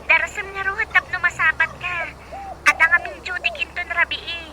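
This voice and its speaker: An actress playing a helpful, friendly young nurse talking to a friend in a radio drama. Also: female voice, very high voice, steady tone, normal speed. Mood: welcoming. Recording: very noisy, with ambient sound.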